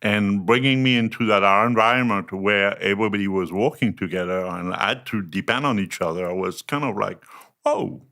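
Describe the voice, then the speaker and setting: A French chef with a French accent, a podcast guest who talks in a relaxed way and laughs easily. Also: male voice, lowish voice, gravelly. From France. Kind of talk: casual interview chat.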